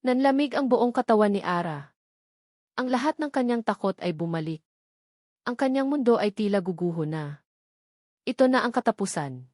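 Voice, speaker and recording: A woman's voice: medium pitch, a little mellow, dry recording. Woman narrating drama, close dry sound. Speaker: story narrator. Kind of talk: dramatic scripted narration of a love story.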